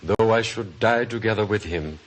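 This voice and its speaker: Male actor for fervent biblical disciple, low voice, earnest and insistent, noisy old radio drama recording. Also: moderate pace, natural voice. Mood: fervent, resolute. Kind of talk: religious radio drama acting.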